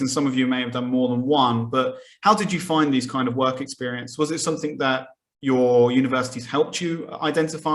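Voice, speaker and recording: A man's voice: mid-range voice, fairly bright tone. A man hosting a psychology podcast, summarizing his guests' answers and asking thoughtful questions with many fillers. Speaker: podcast host. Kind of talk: conversational interview questions.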